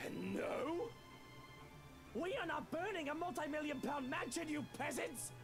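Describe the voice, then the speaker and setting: An actress playing a haughty, wealthy mansion owner, pompous and scornful in a visual novel. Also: female voice, high voice, animated, unhurried, over music. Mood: outraged. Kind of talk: dramatic visual novel voice acting.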